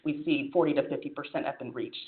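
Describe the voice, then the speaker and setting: A woman with a lowish voice gives a talk to a room of colleagues, talking loosely with plenty of "um"s and self-corrections. The sound is narrow and phone-like, and the room rings with echo. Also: steady tone, fast talker. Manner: conversational, many fillers and restarts. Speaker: physician presenter. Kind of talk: conference presentation with Q&A. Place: conference room.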